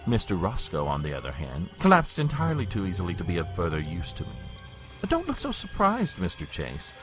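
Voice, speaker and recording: A man's voice: deep voice, huge pitch swings, brisk, over music. An actor playing a suave, menacing vampire lord in an audio drama, mock-polite and theatrical, drawing out his taunts. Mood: gloating.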